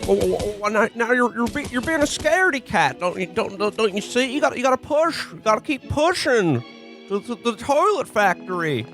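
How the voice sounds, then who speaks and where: A man's voice: very high voice, sing-song, a little fast, a bit bright, faint music behind, exaggerated voice. A man playing a panicky, earnest, stuttering old-time movie everyman in a comedic radio play. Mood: urgent, stammering. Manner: stuttering, repeats words.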